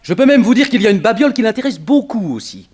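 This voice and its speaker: Animated, slangy ex-burglar. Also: male voice, high voice, fast, husky, full character voice. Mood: sly, grumbling. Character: cagey, indignant male ex-burglar with a grudge. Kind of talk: 1960s French radio drama acting.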